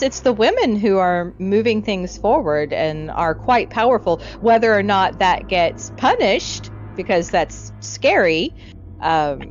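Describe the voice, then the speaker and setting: A woman co-hosting a casual discussion, thinking aloud in loose, trailing lists. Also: medium pitch. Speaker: podcast host. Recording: dull lo-fi audio, music behind.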